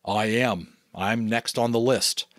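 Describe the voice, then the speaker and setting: A man voicing a calm father who speaks in a matter-of-fact way. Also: on the low side, slow, no echo.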